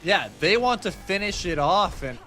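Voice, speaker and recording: A man's voice: high-pitched, expressive, moderate pace, over music. A man giving live commentary on a video game match as an esports caster, speaking colloquially and emphatically.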